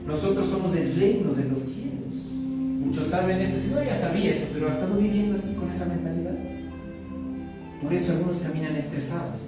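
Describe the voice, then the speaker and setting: A man's voice: sits high, fast. A man preaching a sermon as pastor. He asks the congregation questions and calls for responses. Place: church hall. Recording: echoey hall, distant mic, loud music underneath.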